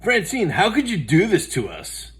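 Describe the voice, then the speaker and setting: A cheeky young schoolboy in a storybook, put on by a man. He is excitable and exclaims in a raised, animated pitch. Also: normal speed, heavily acted. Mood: dismayed.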